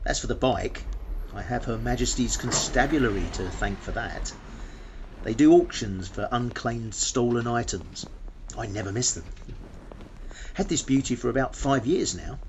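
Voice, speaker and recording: A man's voice: medium pitch, slight background noise, natural voice. An actor with a British accent playing a self-satisfied, penny-pinching money-saving vlogger who chats matter-of-factly to his viewers in a radio play. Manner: chatty, matter-of-fact, addressing viewers. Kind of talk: radio play monologue as a vlog.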